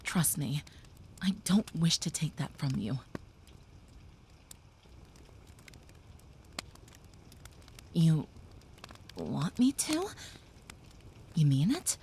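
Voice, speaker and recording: A woman voicing a gentle young female vampire who soothes a human listener, speaking softly and often in a whisper, in an audio roleplay. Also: fairly low pitch, slight background noise, exaggerated voice. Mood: soothing.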